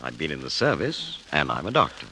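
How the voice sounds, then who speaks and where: An actor playing a courteous, probing English doctor and war veteran who coaxes gently, in 1940s radio drama style. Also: male voice, rumbling low, wildly swooping pitch, a little fast, creaky, heavily acted. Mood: genial, sympathetic. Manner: courteous, solicitous, coaxing. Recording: noisy vintage 1940s radio recording.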